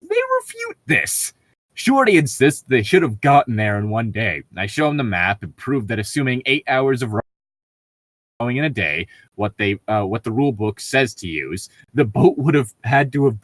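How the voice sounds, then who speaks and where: Male co-host, mid-pitched and highly animated, casual story reading and banter, readily amused. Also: normal speed, no echo, low-quality recording. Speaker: podcast co-host.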